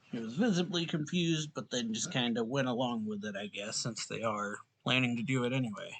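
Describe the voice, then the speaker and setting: A man's voice: mid-range voice, a little fast, a bit bright, slightly rough, low-quality recording. A man giving casual commentary as he plays through a video game. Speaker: gaming streamer. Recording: game music behind the voice.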